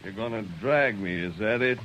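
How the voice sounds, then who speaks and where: An American actor playing a big, mean, taunting outlaw in a 1950s radio western, with a sneering, threatening manner. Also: male voice, lowish voice, moderate pace, fairly bright tone, muffled recording, lightly acted. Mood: taunting, menacing. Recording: noisy old radio recording.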